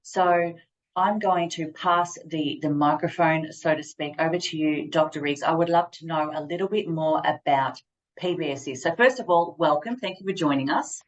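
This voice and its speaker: A woman hosting an interview over a video call, enthusiastic and quick-spoken. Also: low-pitched, highs cut off. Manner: enthusiastic, fast-talking. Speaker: host.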